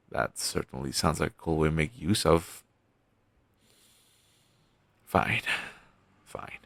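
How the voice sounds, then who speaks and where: A man playing a pessimistic zombie best friend with dark sarcasm, speaking haltingly in an intimate audio roleplay. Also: rumbling low, vocal fry, no echo, full character voice. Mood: wry, apologetic. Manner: halting, sighing, sardonic delivery with trailing hesitations.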